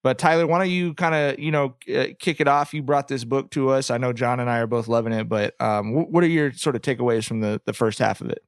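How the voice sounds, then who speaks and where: Guy hosting a podcast, mid-pitched voice, loose casual chat, very dry recording. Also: fast talker, few pauses, clean tone, dry recording. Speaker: podcast host.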